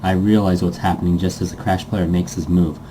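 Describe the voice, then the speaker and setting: A man's voice: low voice, little pitch movement, a little fast, background noise. A man reading a story aloud as a let's player, stumbling over words now and then and breaking into goofy asides. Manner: stumbles over text and self-corrects, goofy. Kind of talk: story narration read aloud with playful asides.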